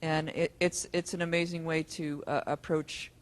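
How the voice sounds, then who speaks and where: Audience man, a little higher pitched, halting and rambling. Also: level tone. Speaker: audience member. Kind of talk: sharing experience from the audience. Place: conference room.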